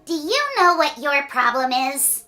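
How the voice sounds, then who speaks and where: A woman's voice: sits high, a little slow, bright, forceful tone, soft background music, voice pushed up. A woman voicing a sunny little lady from a children's storybook who gives earnest, insistent advice. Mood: earnest, scolding.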